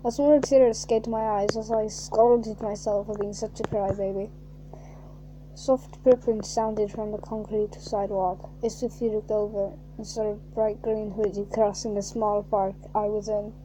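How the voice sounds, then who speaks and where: A woman reading a fan fiction aloud in a mocking way, reading flatly and then bursting into shrieking, laughing asides. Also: mid-range voice, measured pace, a little hiss or hum, rough recording.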